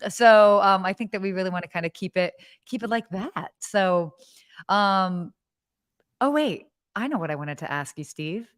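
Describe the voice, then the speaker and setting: A woman's voice: mid-range voice, lively intonation, moderate pace, smooth, no echo, studio quality. Chatty woman co-hosting a live show. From United States. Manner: bubbly, chatty, many fillers and restarts. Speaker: podcast co-host. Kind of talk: casual live-show chat.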